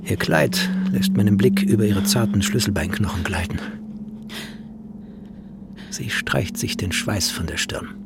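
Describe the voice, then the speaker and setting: Slow, gravelly brooding man. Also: on the low side, over loud music. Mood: absorbed, tender. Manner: slow, measured, introspective. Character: brooding, lonely male wanderer, intense and observant. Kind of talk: German radio play, first-person narration.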